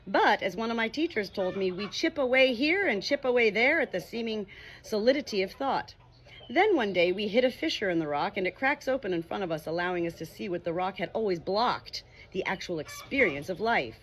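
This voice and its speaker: A woman reading a meditation book aloud as scripted narration, in a measured, expressive way. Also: medium pitch, slightly rough. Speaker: audiobook reader.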